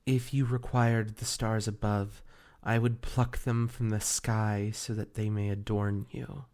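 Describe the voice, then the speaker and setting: A man playing a devoted, ancient fey familiar spirit in an intimate roleplay audio, speaking formally and tenderly with measured, archaic diction. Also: lowish voice, flat delivery, a little mellow. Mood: tender, loving.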